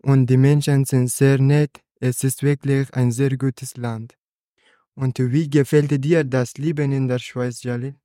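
A man, a student guest speaking in measured, plain short sentences on a school podcast. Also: medium pitch, flat delivery, warm tone, dry recording, clean studio sound. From Afghanistan.

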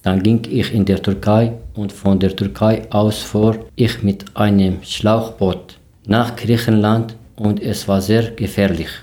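A man in his thirties from Syria, a podcast guest, speaking carefully and deliberately with some fillers. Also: lowish voice, mellow. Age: 30s. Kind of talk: casual podcast interview.

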